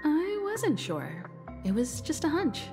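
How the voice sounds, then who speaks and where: A woman's voice: high-pitched, huge pitch swings, takes it slowly, over music, full character voice. A woman playing a poised, reassuring crew leader in a video game, composed and polite. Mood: calm, curious. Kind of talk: video game cutscene acting.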